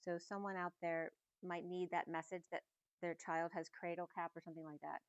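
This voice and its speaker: A middle-aged woman giving a casual, meandering spiritual reading at home, in a soft and musing way. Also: on the low side, little pitch movement, brisk, clear, resonant tone, dry recording, dull audio. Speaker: spiritual reader. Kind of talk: casual channeled message reading.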